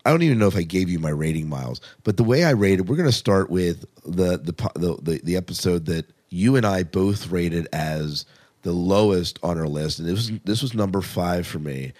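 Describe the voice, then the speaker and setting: A man with a low-pitched voice hosts a podcast in a casual, conversational style. He rambles informally as he reviews shows and freely shares his opinions. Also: animated, moderate pace, darkish tone. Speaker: podcast host.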